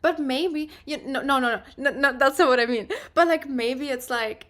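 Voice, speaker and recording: Young woman in her 20s rambling thru a makeup stream, lots of "like", voice on the higher side. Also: moderate pace, fairly bright tone. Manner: rambling, many fillers, chatty. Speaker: livestreamer. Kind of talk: casual chat while doing makeup.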